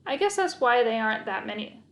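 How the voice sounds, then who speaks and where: Casting: female read-aloud narrator for a kids' novel, higher-pitched voice, expressive first-person storytelling. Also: brisk.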